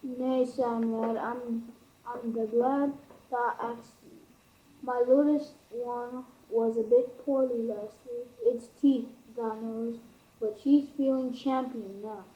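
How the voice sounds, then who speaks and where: A woman's voice: on the high side, steady tone, takes it slowly, mellow. A young woman in an amateur radio play acting a folksy, friendly King who speaks Yorkshire dialect. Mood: chummy. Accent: Yorkshire dialect, acted. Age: teenager. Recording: muffled amateur recording.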